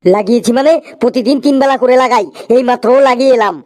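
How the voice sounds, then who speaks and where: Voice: mid-range voice, quick pace, darkish tone, lightly acted. A performer voicing an indignant, dim-witted, literal-minded patient in a comedy cartoon. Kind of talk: comedy cartoon skit dialogue.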